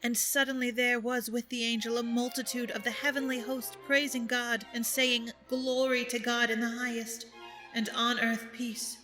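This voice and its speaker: A woman reading scripted narration as announcer in a measured, formal way. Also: on the high side, little pitch movement, pushed and bright, soft background music, poor audio.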